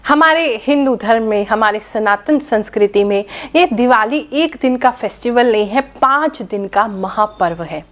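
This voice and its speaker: A slightly hoarse woman with a cold, an astrologer and spiritual guide, talking viewers through festival dates, rituals and their horoscope. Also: a little high, animated, normal speed, hardly any pauses. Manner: instructive, addresses viewers directly.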